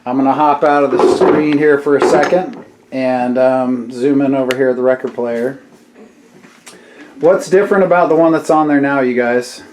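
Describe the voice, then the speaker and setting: A man's voice: mid-range voice, fairly even intonation, normal speed. A man hosting a casual home vlog, explaining things in a teacherly way and putting questions to his kids. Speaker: YouTube channel host and father. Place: room at home. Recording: echoey room with faint background noise.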